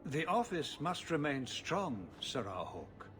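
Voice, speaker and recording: A man's voice: fairly high pitch, big pitch swings, slow pace, a bit bright, a little hiss or hum, heavily acted. An actor playing a formal, anxious city ruler pleading for help with his son. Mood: worried. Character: worried middle-aged male city ruler and father.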